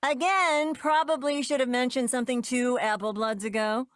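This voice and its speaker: A woman's voice: high-pitched, steady tone, moderate pace, dry recording, clean studio sound, natural voice. An actress voicing a brash, sardonic older witch in a cartoon, with a flippant, colloquial manner. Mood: wry, flippant. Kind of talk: animated cartoon table read.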